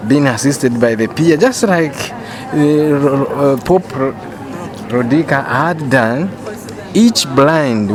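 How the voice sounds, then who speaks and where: A man from Zambia with a Zambian accent gives a spoken testimonial, repeating words for emphasis. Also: medium pitch. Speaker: museum professional giving a testimonial.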